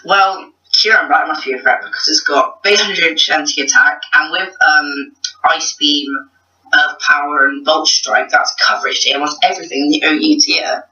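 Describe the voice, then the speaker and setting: A man's voice: a little high, steady tone, normal speed, pushed and bright, recorded from a distance, muffled recording. A young man hosting a casual online interview, loose in manner and swearing now and then. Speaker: interviewer, host.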